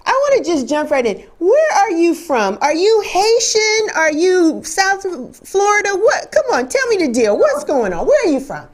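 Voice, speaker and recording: A woman's voice: very high-pitched, very animated, moderate pace, hardly any pauses. A woman hosting a casual, chatty interview in an effusive, playful way, repeating phrases for emphasis. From the Bronx, New York. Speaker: interview host.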